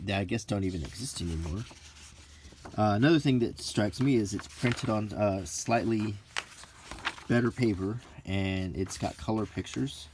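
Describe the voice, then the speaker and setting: Slow, low-voiced middle-aged man, musing. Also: darkish tone, a little hiss or hum. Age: 40s to 50s. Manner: hesitant, musing, reminiscing. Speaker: host of a hobby video. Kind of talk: unintentional ASMR, casual unscripted book review.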